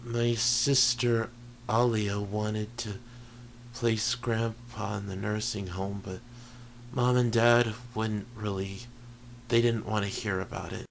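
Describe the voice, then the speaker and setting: A man playing an earnest, vulnerable high-school boy in an intimate ASMR roleplay, speaking haltingly and sincerely. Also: flat delivery. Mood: subdued, sad. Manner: halting, hesitant, sincere, often trailing into breathy murmurs. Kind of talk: ASMR roleplay audio drama, intimate confession. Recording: noisy home recording with ambience.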